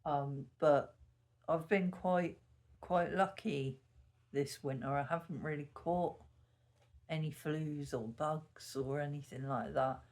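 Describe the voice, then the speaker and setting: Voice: medium pitch, steady tone, slow, darkish tone. A YouTuber chatting casually to the camera at home early in the morning, meandering and self-correcting, a bit sniffly. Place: sitting at home indoors. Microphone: camera mic.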